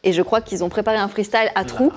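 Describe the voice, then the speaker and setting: A woman's voice: medium pitch, steady tone, quick pace, soft background music. A woman co-hosting a radio show, explaining a segment in an amused, teasing way. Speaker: co-host. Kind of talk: casual radio chat.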